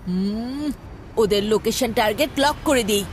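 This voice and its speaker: A woman's voice: fairly high pitch, moderate pace, background noise, lightly acted. A woman playing a brave, confident young boy hero in a children's cartoon, speaking in bold declarations. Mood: determined. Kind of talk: dubbed children's cartoon acting. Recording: music behind the voice.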